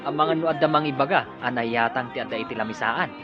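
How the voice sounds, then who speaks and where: A man's voice: mid-range voice, quick pace, highs cut off, over music, lightly acted. A man playing a teasing comic wedding guest in an Ilocano radio drama, with an Ilocano accent. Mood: joking.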